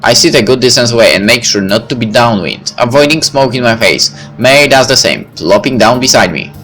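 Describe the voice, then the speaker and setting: A man's voice: mid-range voice, lively intonation, fairly quick, a bit bright. A man reading a visual novel aloud as narrator, with casual asides. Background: background music.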